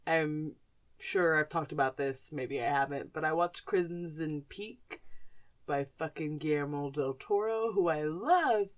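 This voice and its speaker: A woman's voice: deep voice, unhurried. A woman co-hosting a podcast, chatting casually and asking questions in a blunt, emphatic way. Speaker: podcast co-host. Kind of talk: casual, candid chat and interviewing.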